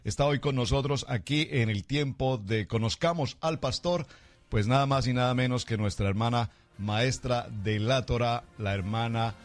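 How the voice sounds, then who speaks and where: A man's voice: mid-range voice, moderate pace, no echo. A man, a pastor, hosting a radio program in a formal manner with effusive greetings and affirming fillers.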